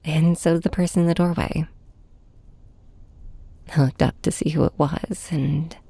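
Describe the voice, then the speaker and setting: A woman playing a heartbroken young superhero in an intimate audio drama, speaking softly and haltingly with a breathy voice. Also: deep voice, fairly even intonation, no echo, full character voice. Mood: hurt, subdued. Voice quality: soft, breathy. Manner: hesitant, halting, hushed. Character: heartbroken young flying superhero confiding in and snapping at nemesis. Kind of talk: first-person audio drama narration, ASMR hybrid.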